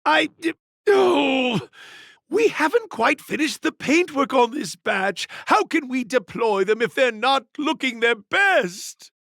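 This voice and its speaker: A flamboyant game character, a master artisan, speaks in a high, swooping voice. His phrasing is florid and archaic, delivered with earnest theatrical flourish and unhurried timing. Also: expressive, a bit bright, no room sound, clean studio sound, full character voice. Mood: flustered. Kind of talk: video game voice acting.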